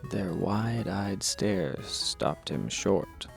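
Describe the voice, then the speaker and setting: A man narrating an audio drama with a gravelly, husky voice and a wry, dramatic delivery. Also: low-pitched, very animated, extremely slow, soft background music. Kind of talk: scripted audio drama storytelling.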